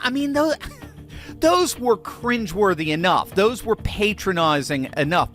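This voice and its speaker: A man's voice: extremely high pitch, bright, forceful tone. A man hosting political commentary, sarcastic and mocking, chuckling between remarks. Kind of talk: commentary on clips.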